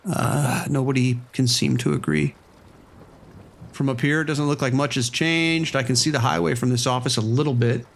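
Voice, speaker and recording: A man playing an easygoing, watchful casino boss in an audio drama, with a casual, measured way of talking. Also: medium pitch, fairly even intonation, vocal fry. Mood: mildly uneasy. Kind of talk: scripted horror audio drama radio exchange.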